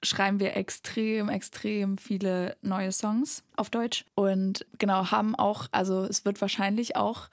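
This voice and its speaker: A woman's voice: medium pitch, flat delivery, a little slow, hardly any pauses, dry recording, studio quality. A woman, chatting casually and enthusiastically as an interview guest, with youthful slang and English words mixed in. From Erfurt, Germany. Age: early 20s. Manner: enthusiastic, emphatic repetition. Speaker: singer-songwriter guest.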